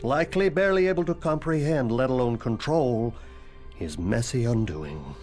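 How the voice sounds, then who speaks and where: A man's voice: mid-range voice, moderate pace, lightly acted. A man as a dry, macabre ghost headmaster. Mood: wry. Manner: dry, formal, morbidly deadpan, drops into whispers. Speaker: dead former headmaster. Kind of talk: video game cutscene dialogue. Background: music.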